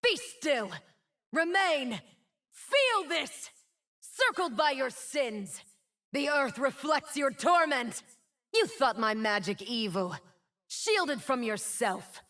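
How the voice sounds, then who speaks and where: A woman's voice: sits high, lively intonation, fairly bright tone, heavily acted. An actress voicing a proud, wounded, immortal winged woman in a video game, speaking with solemn, dramatic defiance. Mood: menacing. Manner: slow, solemn, dramatic delivery. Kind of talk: scripted game character voice lines.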